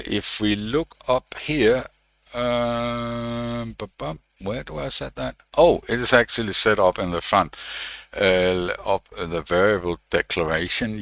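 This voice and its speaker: A man giving an unscripted technical walkthrough as a tutorial presenter, thinking aloud in a halting way. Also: on the low side, vocal fry, a bit bright, dry recording.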